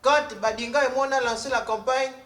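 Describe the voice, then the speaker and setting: A man's voice: extremely high pitch, steady tone, fairly quick, a bit bright. A man playing a self-important pundit who rambles and repeats himself. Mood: complaining. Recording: echoey room, faint noise.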